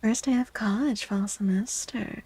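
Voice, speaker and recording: Female ASMR streamer, soft-spoken and unhurried, mellow tone, gentle casual chat. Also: mid-range voice, breathy edge. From United States, born to Mexican parents. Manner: gentle, encouraging, replying to viewers. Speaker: VTuber streamer chatting with viewers. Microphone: close, dry microphone.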